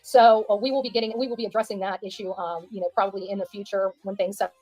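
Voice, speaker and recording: A woman's voice: medium pitch, fairly even intonation, racing, darkish tone, no room sound, faint music behind, poor audio. A woman giving informal livestream commentary, emphatic and repeating phrases for stress.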